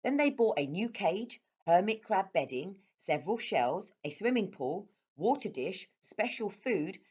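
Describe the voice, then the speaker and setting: Animated woman reading a children's story. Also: medium pitch, measured pace, slightly rough, muffled recording. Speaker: storyteller at a primary school.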